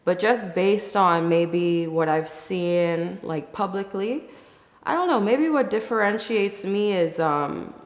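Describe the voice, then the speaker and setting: A woman from the Bahamas giving casual, reflective interview answers in a thoughtful, candid way, with pauses as she thinks. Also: fairly low pitch. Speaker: interview guest, musician. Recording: echoey, reverberant room.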